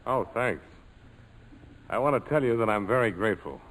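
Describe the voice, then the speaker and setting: An actor playing a cool, domineering adventurer, wry and terse, in a 1940s radio drama. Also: male voice, fairly low pitch, expressive, normal speed, fairly bright tone, rough recording. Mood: wry, guarded. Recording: vintage radio broadcast.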